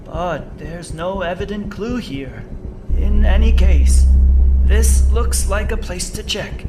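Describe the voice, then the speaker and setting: An actor playing an eccentric investigator in a video game cinematic, musing aloud in a deliberate, exclamatory way. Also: close to an everyday voice. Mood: musing, decisive. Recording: clear background noise, vehicle-like rumble.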